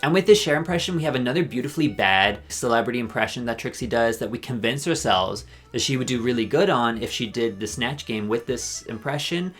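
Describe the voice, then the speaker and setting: A man giving a rambling, sarcastic video commentary with deadpan asides. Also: medium pitch, fairly quick, hardly any pauses, faint music behind. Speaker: commentary YouTuber. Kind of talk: ranking commentary.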